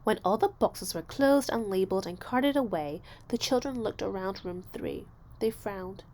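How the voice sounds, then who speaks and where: A woman narrating a children's story aloud, expressively, with deliberate phrasing and dramatic emphasis. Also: medium pitch, lively intonation, darkish tone. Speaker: storybook reader.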